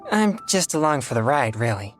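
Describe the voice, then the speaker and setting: A woman's voice: very deep voice, big pitch swings, moderate pace, faint music behind. A woman voicing a polite, reserved teenage boy exorcist in a fantasy video game, modest and earnest. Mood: modest, calm.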